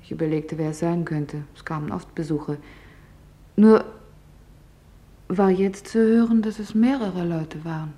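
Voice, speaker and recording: An actress as a low-voiced woman recalling wartime. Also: measured pace, long pauses, dark tone, close to an everyday voice. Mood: tense, reflective. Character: female office worker recalling a tense wartime day. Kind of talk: German radio drama witness monologue.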